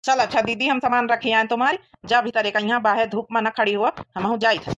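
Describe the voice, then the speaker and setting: Woman acting as young village brother, hurried, Awadhi accent, cartoon comedy, highish voice, muffled lo-fi recording. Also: fairly even intonation, a bit bright, slight background noise, close to an everyday voice. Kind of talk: rural cartoon comedy dialogue. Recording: dull, lo-fi sound.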